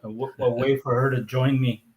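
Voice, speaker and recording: A man's voice: mid-range voice, little pitch movement, fairly quick, a crowd in the background, rough recording. Easygoing man in his fifties. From born in Greece, raised in Canada. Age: 50s. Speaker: guest. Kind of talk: casual chat.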